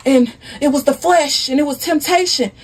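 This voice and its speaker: A woman's voice: sits high, normal speed, recorded from a distance, voice pushed up, lightly acted. A woman voicing a cheating pastor husband caught out, flustered, defensive and pleading as the story is told. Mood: stammering excuses.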